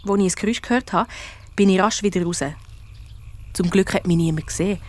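A plucky young schoolgirl sleuth played by a woman tells her story in a children's radio detective play. She has a Swiss accent and a middling-to-high voice, and thinks aloud in an expressive, colloquial way, curious and cheeky. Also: quick pace, lightly acted. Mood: confiding, annoyed. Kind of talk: children's radio detective play, first-person narration and dialogue.

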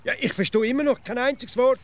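An actor playing an absurdly logical, nagging everyday philosopher in a comic radio play, pedantic and insistent, with a Swiss accent. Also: male voice, extremely high pitch, fast. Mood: exasperated.

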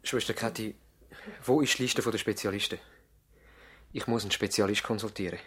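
A man's voice: fairly low pitch, fairly even intonation, brisk, a bit bright, husky. A man playing an earnest, worried country doctor in a radio drama, formal and serious, with a Swiss accent. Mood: grave, firm. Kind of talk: German-language radio drama acting. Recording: slightly veiled 1970s radio drama sound.

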